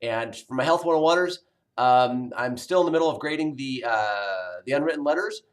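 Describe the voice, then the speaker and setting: A man's voice: mid-range voice, lively intonation, normal speed. A man in his forties, a college health instructor, talking casually to his online students in a recorded video message, rambling with many fillers and restarts. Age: 40s.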